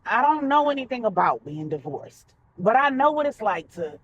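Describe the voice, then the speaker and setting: Woman playing frank, expressive best friend. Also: fairly high pitch, normal speed, highs cut off, slight background noise. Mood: frank, comforting. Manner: matter-of-fact, exasperated. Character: frank, steady best friend giving tough comfort.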